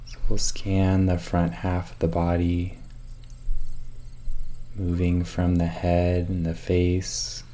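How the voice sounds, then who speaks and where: A man leading a guided meditation, giving calm, measured instructions with long pauses between them. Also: sits low, a little hiss or hum.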